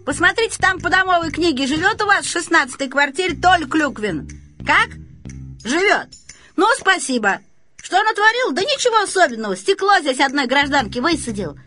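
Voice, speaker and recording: A woman's voice: sits high, very animated, brisk, sharp tone, soft background music, pitched above the performer's own voice, exaggerated voice. A woman voicing a businesslike, officious male building manager, patronising and matter-of-fact, in a storybook reading. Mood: brisk phone talk.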